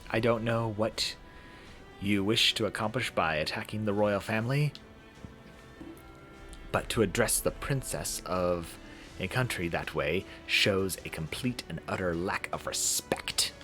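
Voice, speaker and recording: A man's voice: lowish voice, measured pace, bright, forceful tone, faint music behind. A man voicing a proud female royal servant in a visual novel, formal and scolding. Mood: indignant, scolding. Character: proud, indignant female royal servant defending her princess.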